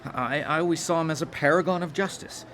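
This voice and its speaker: A man's voice: a little high, brisk, fairly bright tone, a little hiss or hum, lightly acted. A man playing a naive, pious, awkward nice guy on a date, hesitant and stammering, in a live radio drama. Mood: earnest.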